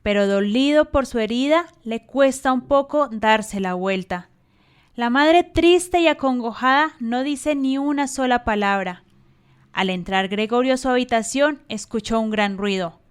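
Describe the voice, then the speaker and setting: A woman's voice: a little high, smooth. A woman reading scripted narration as the storyteller of a radio drama.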